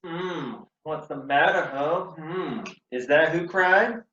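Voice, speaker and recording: A man's voice: a little high, slow pace, bright, forceful tone. A man voicing an anxious, doting woman in a stage tragedy, humming and sighing as he speaks. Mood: worried curiosity. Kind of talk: Shakespeare play acting. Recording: muffled, roomy echo.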